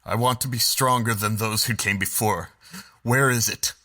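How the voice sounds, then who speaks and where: A man playing a power-hungry, menacing young dark-side warrior in a motion comic, intense and demanding. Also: fairly low pitch, steady tone, normal speed. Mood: demanding, angry. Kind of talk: dramatic motion comic acting.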